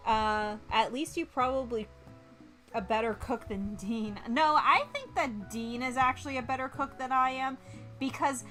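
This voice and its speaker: A woman livestreaming a visual novel, reading it aloud and chatting with giggly, expressive reactions. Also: sits high, a little slow, soft background music. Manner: giggly, expressive, reacting between read lines. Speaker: streamer playing a visual novel. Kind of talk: livestream game read-along with chatty reactions.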